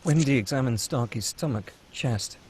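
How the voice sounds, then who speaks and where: A man's voice: mid-range voice, normal speed, darkish tone, a little hiss or hum. A man reading screenplay action and scene headings as the narrator of a table read.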